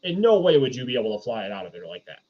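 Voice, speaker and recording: Halting man debating, slightly dull lo-fi sound. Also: medium pitch, big pitch swings. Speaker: podcast panelist reviewing films. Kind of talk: casual debating chat.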